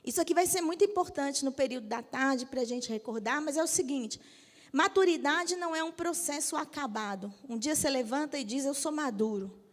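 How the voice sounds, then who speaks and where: Bishop in her fifties with a Brazilian accent, high and lively, teasing the congregation in a reverberant church hall. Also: brisk. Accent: Brazilian Portuguese. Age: 50s. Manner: lively, jokes with the audience, asks for agreement. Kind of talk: church teaching talk.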